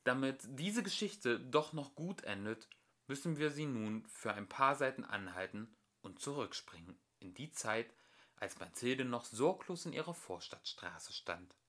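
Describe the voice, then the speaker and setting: A man's voice: medium pitch, animated, a little slow, a bit bright. A man narrating a children's story expressively, as an amateur audiobook reader.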